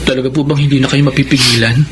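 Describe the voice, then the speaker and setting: An actor playing a concerned, respectful young Filipino son in a radio drama. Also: male voice, medium pitch, fairly even intonation, very fast, noisy background, natural voice. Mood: sad, pleading. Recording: loud music under the voice.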